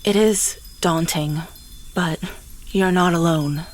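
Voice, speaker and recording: A priestess of the dead with a lowish voice, acted by a woman for a fantasy radio drama. She is calm, firm and reassuring. Faint noise lies in the recording. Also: slow pace. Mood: assured. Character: calm, assured priestess who ministers to the dead. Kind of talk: fantasy audio drama acting.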